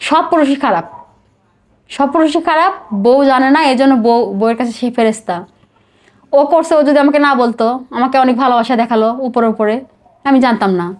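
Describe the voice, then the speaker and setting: Bangladeshi woman, middle-aged podcast guest. Higher-pitched, rapid, emotional confessional delivery that circles back on itself. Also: darkish tone. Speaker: podcast guest telling her life story. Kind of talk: personal confessional interview.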